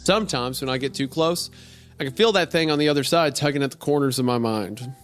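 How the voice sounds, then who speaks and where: Deadpan night-shift clerk, read by a man, dry first-person horror storytelling, medium pitch, music underneath. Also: quick pace, natural voice. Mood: dry, uneasy. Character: deadpan gas station night clerk narrating strange events. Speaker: podcast host reading a horror story aloud. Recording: music behind the voice.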